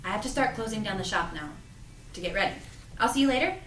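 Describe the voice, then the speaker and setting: A woman's voice: mid-range voice, animated, quick pace, fairly bright tone, close to an everyday voice. Casting: actress as secretive, earnest teen girl, audio drama; roomy, off-mic sound. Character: earnest, secretive teenage girl, friendly shop helper. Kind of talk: audio drama cast table read. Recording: roomy, distant mic, music underneath.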